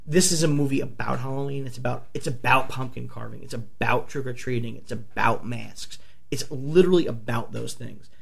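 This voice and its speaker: A man with a gravelly, croaky voice, worn hoarse from a flu, holds forth on films in an excitable, profane rush. He stumbles through restarts and fillers and jumps back in with energy. His pitch sits in an ordinary middle range. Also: fairly quick. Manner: excitable, swears often, many restarts. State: a few bourbons in. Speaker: podcast host. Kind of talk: casual movie talk.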